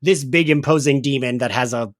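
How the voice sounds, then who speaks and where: A man's voice: mid-range voice, a little fast. A man hosting a podcast, chatting casually in a rambling, giggly way full of filler words. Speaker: podcast host. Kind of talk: casual chatty recap discussion.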